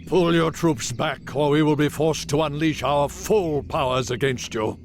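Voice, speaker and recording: An actor playing a dignified, stern archmage in a fantasy game, formal, wry and measured. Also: male voice, fairly high pitch. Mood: stern warning. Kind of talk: fantasy game cutscene acting.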